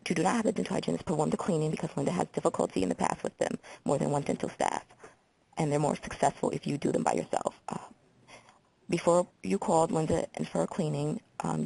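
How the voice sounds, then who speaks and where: A woman playing a procedural, businesslike hotline call taker with an American accent and a husky, creaky voice, in a simulated intake call. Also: low voice, warm tone, full character voice, low-quality recording. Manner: procedural, reads details back methodically. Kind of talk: simulated phone intake interview for training.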